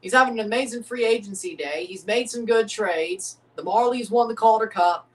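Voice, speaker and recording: A man hosting a livestream watchalong, chatting casually and excitably, with a voice gone a little scratchy from overuse. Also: high-pitched, moderate pace, recorded from a distance. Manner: rambling, excitable, repeats himself. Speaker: streamer hosting a match watchalong.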